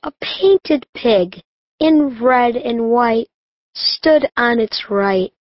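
A woman voicing a dreamy young pig in a children's audiobook, reciting slowly and deliberately. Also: a little high, animated, no room sound. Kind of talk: audiobook character acting. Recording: dull, lo-fi audiobook sound.

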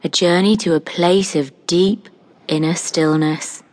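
A woman narrating a scripted guided meditation in a calm, soothing, unhurried manner, leaving long pauses between phrases. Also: lowish voice.

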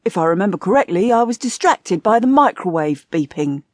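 A grumpy old husband in a comic audiobook, put on by a woman reader. He is dry and mocking, teasing his wife with lively, varied intonation. The sound is close and dry. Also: mid-range voice, brisk, dry recording, lightly acted. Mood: dry, dismissive.

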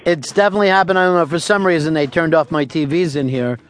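Deadpan, profane male radio host. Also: fairly high pitch, fast talker. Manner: deadpan, profane, spins absurd tall tales. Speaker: radio talk show host. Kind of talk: casual radio chat taking callers.

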